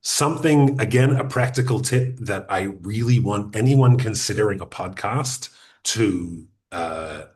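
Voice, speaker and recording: Man giving an unhurried workshop talk. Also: fairly low pitch, a little mellow. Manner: deliberate, uses pauses and restarts; addresses audience directly with practical advice. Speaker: podcasting workshop speaker. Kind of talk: conversational teaching talk.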